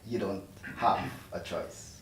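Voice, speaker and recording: A man's voice: sits low, takes it slowly, slight background noise. A man playing an unruffled, instructive medical supervisor in a drama table read. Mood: grave. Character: matter-of-fact male medical supervisor in the afterlife. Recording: echoey room, distant mic.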